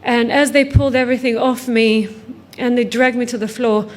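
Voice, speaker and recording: Higher-voiced woman giving personal testimony. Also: fairly even intonation, normal speed. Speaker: guest speaker, trafficking survivor and author. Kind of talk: personal testimony told to a conference audience.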